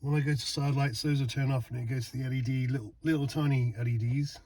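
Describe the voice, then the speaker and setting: Excitable man chatting outdoors at night. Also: mid-range voice, fast talker, a crowd in the background. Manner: excitable, exclaims and laughs, repeats words. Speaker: van owner testing lights. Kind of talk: casual vlog chat.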